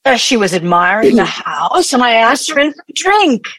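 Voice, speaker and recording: A woman's voice: a little high, big pitch swings, moderate pace, fairly bright tone, dry recording. A woman playing a hostile, sarcastic grown daughter in mourning, impatient in her delivery, in an audio play. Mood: tense, evasive.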